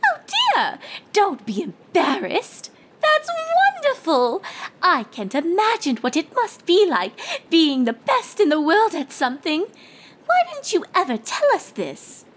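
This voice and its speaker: A woman's voice: very high-pitched, huge pitch swings, a little slow, voice pushed up, exaggerated voice. A woman voicing a refined, dramatic female cartoon unicorn pony in a genteel, teasing, theatrical manner. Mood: admiring, gushing. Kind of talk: character voice in fan fiction reading.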